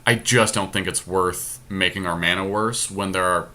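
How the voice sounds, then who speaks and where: Low, bright-voiced man talking card strategy. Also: fairly even intonation, normal speed. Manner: analytical, explanatory. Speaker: podcast host and card game drafter. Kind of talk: casual draft commentary, thinking aloud. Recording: faint noise, amateur screen-capture recording.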